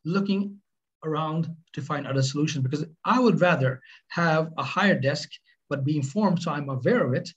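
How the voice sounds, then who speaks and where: Husky Swedish-accented man on a video call. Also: on the high side, a bit bright, muffled recording. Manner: earnest, halting with restarts. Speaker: webinar presenter. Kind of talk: webinar presentation and Q&A. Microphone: webcam on video call.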